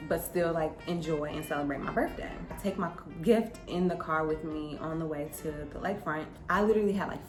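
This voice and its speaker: A woman's voice: deep voice, roomy sound. A young adult woman vlogger telling a personal story in a casual, conversational way, with emphasis when she quotes exchanges.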